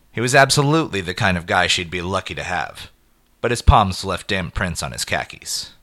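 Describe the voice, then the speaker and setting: A man narrating a scripted short story in an expressive, measured way with clipped phrases. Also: low-pitched.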